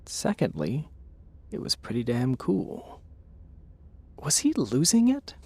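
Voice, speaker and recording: Slow, gravelly male storyteller. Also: mid-range voice, wildly swooping pitch, a little mellow. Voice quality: gravelly, husky. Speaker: narrator. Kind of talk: scripted audio drama storytelling.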